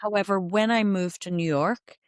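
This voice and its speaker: A woman in her fifties with a Belfast Irish accent, telling her life story as an interview guest in a candid, animated way with quoted asides. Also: medium pitch, moderate pace, dry recording, clean studio sound. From Northern Ireland. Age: 50s. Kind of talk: reflective interview conversation.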